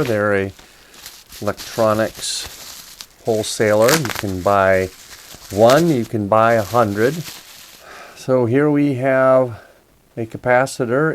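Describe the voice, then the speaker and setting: Low-pitched man explaining a repair. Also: a little hiss or hum, low-quality recording. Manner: methodical, step-by-step explaining. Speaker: repair video host. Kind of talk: casual hands-on repair walkthrough.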